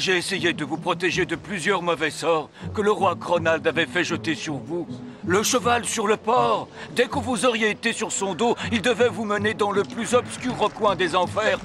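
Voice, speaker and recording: An actor playing a loyal, grave, self-sacrificing royal servant in a fairy-tale cartoon, with formal, dramatic delivery. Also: male voice, sits high, close to an everyday voice.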